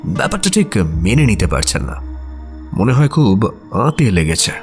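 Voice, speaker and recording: A man's voice: lowish voice, sing-song, normal speed, faint music behind. A man playing the detective's curious, amused companion, narrating a scripted radio mystery story in a dramatic style. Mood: observant.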